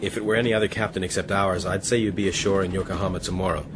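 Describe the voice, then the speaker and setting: An actor playing an earnest, courteous gentleman officer in a seafaring radio drama. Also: low voice, fairly even intonation, very fast, noisy background, natural voice. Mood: courteous, warning. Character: earnest, anxious gentleman officer on a sailing ship. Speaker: ship's officer, addressed as mister. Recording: audio drama with loud sea ambience.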